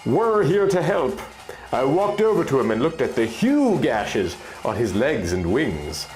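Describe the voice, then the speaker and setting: A man reading scripted fantasy fiction as an expressive story narrator. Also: mid-range voice, a little slow, faint music behind, low-quality recording.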